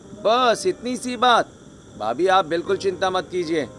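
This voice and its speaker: A man's voice: high voice, animated, unhurried, sharp tone, a little hiss or hum, full character voice. A man voicing a teasing, playful young brother-in-law in a family cartoon. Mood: caring.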